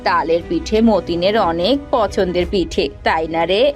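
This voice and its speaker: A woman's voice: lowish voice, moderate pace, faint music behind, natural voice. A woman playing a homely, affectionate older sister who is a guest in a cartoon village tale. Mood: pleased, affectionate. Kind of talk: cartoon folk-tale dialogue.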